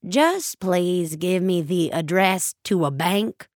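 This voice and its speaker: A woman voicing an anxious, crotchety elderly woman in an audio story, halting and pleading. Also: fairly low pitch, animated, no room sound, studio quality. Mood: flustered, pleading. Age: older. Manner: halting, pleading, old-fashioned.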